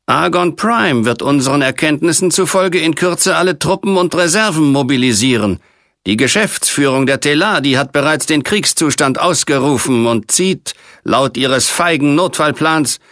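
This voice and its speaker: A man's voice: fairly high pitch, fairly bright tone, dry recording, pitched above the performer's own voice. A stern alien military officer acted by a male audiobook reader delivers official dispatches. The voice is raised and emphatic, clipped and formal, recorded dry and close.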